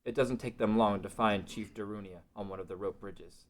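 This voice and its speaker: A man with a fairly low voice narrates a fantasy adventure. He reads scripted stage directions as the narrator of a table read. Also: fairly quick.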